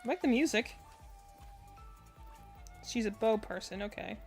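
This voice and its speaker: Female reaction streamer, slightly high-pitched, casual and opinionated, rambles as she thinks out loud. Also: lively intonation, measured pace, soft background music. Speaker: streamer reacting to game character trailers.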